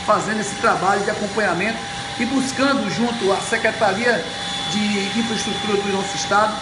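A man, giving an emphatic, deliberate update to camera like a public speaker. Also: high-pitched. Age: 50s. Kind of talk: informal speech to camera. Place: bare indoor room by windows. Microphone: camera or phone a short distance away. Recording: echoey room with loud machinery noise.

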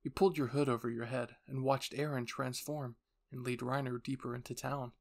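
A man's voice: mid-range voice, little pitch movement, brisk, creaky. A man reading a scripted story as narrator, in a dramatic storytelling style. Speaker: narrator and voice actor.

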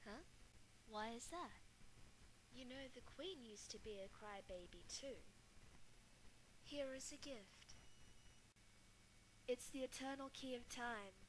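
Woman acting a high-voiced, solemn guardian of time. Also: animated, takes it slowly, pauses often, slight background noise, low-quality recording. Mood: gentle. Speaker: amateur voice actor. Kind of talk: fan musical dub, scripted acting.

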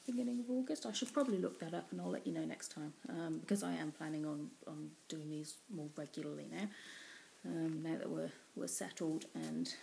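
English woman, low voice, gravelly, casual webcam vlog at home, faint hiss. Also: brisk, low-quality recording. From England. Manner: rambling, many ums, warm and chatty. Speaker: cross-stitch vlogger. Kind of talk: casual chatty craft vlog. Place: small room indoors at home. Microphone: built-in webcam mic at close range.

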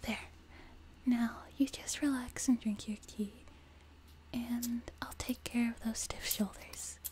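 A woman voicing a sweet, teasing, doting young demon girl in a soft, breathy whispered ASMR roleplay, close to a binaural mic. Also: a little high, steady tone. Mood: caring, soothing. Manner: gentle, slow, teasing, intimate. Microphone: close binaural microphone.

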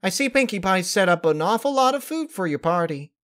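A man's voice: sits high, lively intonation, fairly quick, fairly bright tone, pitched above the performer's own voice. A man voicing an earnest, fussing young country pony daughter in a story reading, with an eager folksy manner. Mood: solicitous. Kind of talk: dramatized fan-fiction reading.

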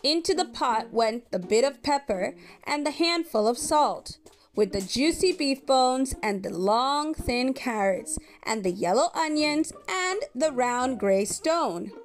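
A woman reading a children's story aloud in an expressive, measured storytelling way. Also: high-pitched. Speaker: storybook reader.

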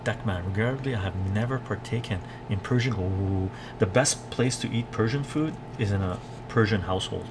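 A middle-aged man with a lowish voice hosts a casual cooking livestream. He muses in a halting way as he reads out comments and answers them. Loud kitchen noise, with chopping and clatter, runs behind his talk. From Canada, born in Iran. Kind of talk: casual livestream chat while cooking, reading viewer comments. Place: home kitchen, indoors.

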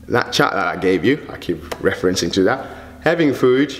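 A man in his thirties speaks straight to camera as a fitness coach, animated and chatty, his phrasing loose and rambling. His voice sits on the low side. The bare room adds a long, lingering reverb. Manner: animated, gesturing a lot, loose conversational phrasing. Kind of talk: casual fitness lesson to camera. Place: plain indoor studio backdrop.

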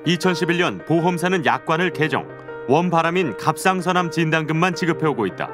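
A man's voice: a little high, expressive, fast talker, music in the background. A man reading scripted narration for an investigative programme as its narrator.

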